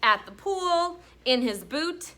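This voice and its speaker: A woman's voice: sits high, expressive, slow pace, pushed and bright. A woman teaching an elementary class, asking questions and reading a story aloud to pupils. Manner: asks questions, echoes pupils' answers. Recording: faint room noise.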